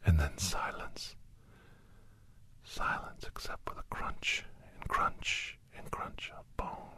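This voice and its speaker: A man playing a grave doctor in an ASMR horror story, whispering deliberately in a breathy, husky voice, with pauses and repeated phrases. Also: pushed and bright. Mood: hushed, suspenseful. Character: Doctor Andrew Michaels, grave male storyteller recounting a werewolf encounter. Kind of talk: whispered ASMR dramatic first-person storytelling.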